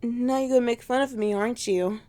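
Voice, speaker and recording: A woman's voice: a little high, fairly even intonation, fairly quick, warm tone, slight background noise, close to an everyday voice. A woman playing a teasing alpha she-wolf who is scared of heights and easily flustered, in a romantic ASMR roleplay. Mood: nervous, flustered.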